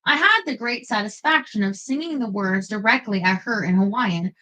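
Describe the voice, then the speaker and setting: A woman reading a biography aloud in a measured, expressive storytelling manner. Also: medium pitch. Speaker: narrator.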